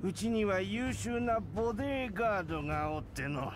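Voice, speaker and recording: Animated high-voiced boy, archaic old-man phrasing. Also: fast, music in the background. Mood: smug, composed. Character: cocky boy with an old man's way of talking. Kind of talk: Japanese visual novel voice acting.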